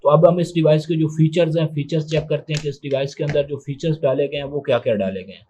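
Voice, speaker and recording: Even-toned man presenting a product review. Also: on the high side, quick pace, a little hiss or hum. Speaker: tech reviewer presenting a phone unboxing. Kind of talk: unscripted step-by-step product demonstration.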